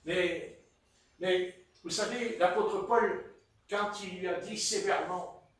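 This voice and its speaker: A man with a Marseille French accent preaching a sermon in a church hall, telling stories in a playful, animated way. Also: high voice. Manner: animated, playful storytelling with chuckles. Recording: echoey hall, mic far off.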